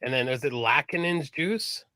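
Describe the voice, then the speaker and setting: Bright-voiced chatty man, dry sound. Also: medium pitch, moderate pace, dry recording. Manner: chatty, enthusiastic, swears casually. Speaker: podcast co-host. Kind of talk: casual chat on a live stream.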